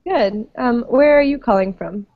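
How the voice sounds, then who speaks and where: A woman who teaches English, leading an online group class in a patient, friendly way with many pauses and ums. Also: fairly high pitch. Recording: dull lo-fi web call audio.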